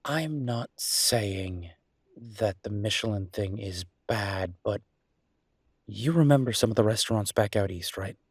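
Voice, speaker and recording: A man playing an earnest, down-to-earth chef boyfriend in an audio roleplay, talking casually and a little hesitantly in a husky voice. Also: lowish voice, measured pace, dry recording, studio quality. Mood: wry, opinionated. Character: enthusiastic down-to-earth chef boyfriend opening a mountain restaurant. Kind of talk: audio roleplay acting, casual one-sided conversation.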